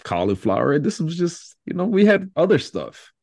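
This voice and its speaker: A man's voice: on the high side, very animated, moderate pace, gravelly, darkish tone, dry recording, clean studio sound. A man from the Democratic Republic of Congo, a podcast guest, talking earnestly and rambling through rhetorical questions. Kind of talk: casual interview chat.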